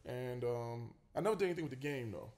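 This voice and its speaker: Fast-talking New man in his forties. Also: mid-range voice. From Queens, New York. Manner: quick, relaxed, many fillers and restarts. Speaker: college basketball head coach, guest. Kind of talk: casual podcast storytelling.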